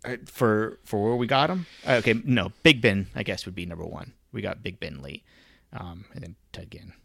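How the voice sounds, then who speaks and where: A man's voice: fairly low pitch, normal speed, vocal fry, sharp tone, no room sound, clean studio sound. A man co-hosting a casual sports podcast in a laid-back way, laughing easily as he reads through lists of names. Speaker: podcast co-host.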